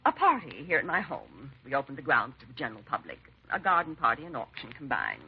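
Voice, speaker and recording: Genteel society lady, very animated intonation. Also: a bass voice, fast talker, heavily acted. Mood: gracious. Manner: genteel, hesitant, proper. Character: genteel, dignified society charity hostess, naive and trusting. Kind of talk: 1950s radio drama acting. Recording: muffled, noisy 1950s radio recording.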